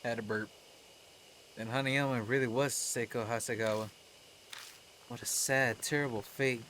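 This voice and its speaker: A man's voice: mid-range voice, steady tone, a little slow, a little hiss or hum. A man reading game text aloud as a let's player, with playful, sweary casual asides. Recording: loud music behind the voice. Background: loud game music.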